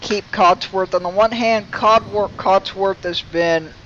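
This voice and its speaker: A man's voice: high voice, fairly even intonation, measured pace, fairly bright tone, dull audio, a little hiss or hum. A man streaming a video game, thinking aloud as he gives live gameplay commentary.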